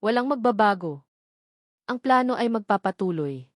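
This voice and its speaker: A woman's voice: medium pitch, lively intonation, no echo, studio quality, lightly acted. Woman voicing a stern, commanding old grandmother. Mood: hard, unyielding. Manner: hard, commanding, measured. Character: stern, powerful old wealthy grandmother, unyielding.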